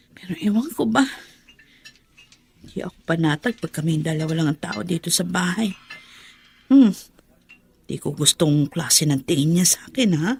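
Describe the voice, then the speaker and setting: A woman acting as a young domestic helper in a radio drama, nervous and hushed. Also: lowish voice, a little hiss or hum. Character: young domestic helper abroad, anxious and timid.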